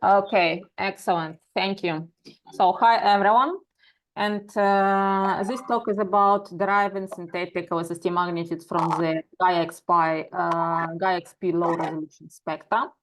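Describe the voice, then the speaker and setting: A woman giving a conference talk remotely over a video call, opening her slides in an organized, brisk way. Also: lowish voice, steady tone, poor audio. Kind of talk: presentation opening.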